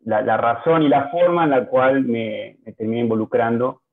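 Argentine male panelist, mid-pitched, halting delivery, heard through a tinny phone-quality line. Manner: hesitant, many restarts and fillers. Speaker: panel speaker, geneticist. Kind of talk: video-call panel talk. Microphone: video call.

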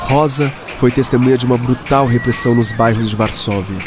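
A man with a Brazilian accent giving measured, scripted narration for a radio drama. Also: medium pitch, steady tone, very noisy. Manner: measured storytelling. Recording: music clearly behind voice.

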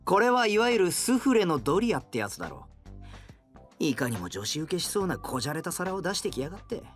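An actor playing an arrogant, grudging young hero and gourmet in an anime audio drama, with a pompous, blustering, rough way of speaking. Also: male voice, on the high side, very animated, fairly quick, faint music behind. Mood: scornful.

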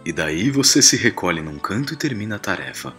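A man's voice: lowish voice, sing-song, fairly quick, fairly bright tone. A man voicing a stately, commanding elf lord in a storybook reading. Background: music.

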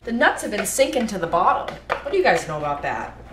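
A young woman goofing around while she cooks in her home kitchen, talking to the camera in a silly, self-mocking way with loud outbursts. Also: medium pitch, sing-song, fast. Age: young adult. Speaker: vlogger. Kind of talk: goofy cooking vlog. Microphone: camera across the kitchen. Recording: echoey kitchen, audible noise.

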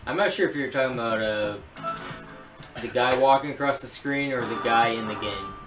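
A man's voice: mid-range voice, moderate pace. Teasing guy co-hosting a game stream, far from the mic, echoey room. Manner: chatty, teasing, laughs a lot. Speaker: marathon co-host. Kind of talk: casual live commentary. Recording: roomy, from far off, loud game music.

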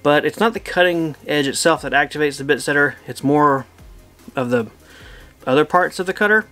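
A man in his thirties casually explaining a machine setup in his home woodshop, easygoing and plainspoken, thinking out loud. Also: a little high, normal speed, faint music behind. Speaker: woodworking channel host. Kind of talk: casual how-to narration.

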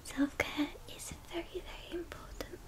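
Young female ASMR whisperer: breathy and high, gentle giggles, unhurried and caring. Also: steady tone. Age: young adult. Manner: soft whispering, giggly and doting. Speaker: ASMR creator. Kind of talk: ASMR girlfriend roleplay. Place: bedroom with purple lights.

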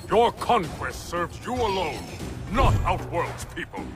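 An actor playing a stern, proud warrior emperor in a video game, speaking in a grave, formal, declamatory way. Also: male voice, high-pitched, big pitch swings, slow pace, full character voice. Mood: scornful, forceful. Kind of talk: video game dramatic acting. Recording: loud game music behind.

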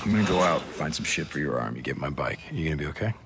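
An American actor playing a gritty, restless biker in a zombie apocalypse video game. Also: male voice, very deep voice, animated, fast, vocal fry, a bit bright, a little hiss or hum, heavily acted. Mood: calm, concerned. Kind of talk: video game cutscene acting.

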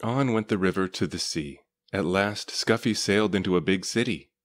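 A man reading a children's story aloud as narrator, with measured, deliberate phrasing. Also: deep voice. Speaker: storybook reader.

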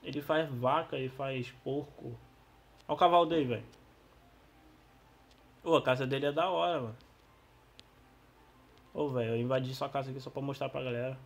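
Brazilian male gamer, mid pitch, husky and losing his voice, loose slangy play-by-play with excitable exclamations. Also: moderate pace, long pauses. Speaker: gaming YouTuber. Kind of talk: casual gameplay commentary.

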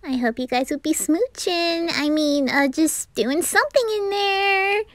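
A woman's voice: very high voice, measured pace, dry recording, pitched above the performer's own voice. A woman playing a perky, teasing teenage pop-idol girl in a hobby anime audio drama. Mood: playful, teasing. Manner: bubbly, teasing.

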